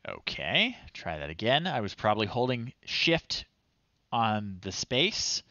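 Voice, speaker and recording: A man's voice: on the low side, pushed and bright, dry recording, dull audio. A man in his thirties giving casual livestream commentary, thinking aloud and muttering in short phrases as he goes. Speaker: livestream host. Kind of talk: casual livestream commentary while trying old software. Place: small room indoors at home.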